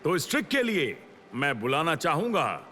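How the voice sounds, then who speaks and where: A man's voice: fairly high pitch, sing-song, normal speed, sharp tone, slight background noise. An actor voicing a self-important, easily panicked boy magician in a cartoon, with a grandiose manner. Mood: grand announcing. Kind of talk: animated cartoon dubbing. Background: music.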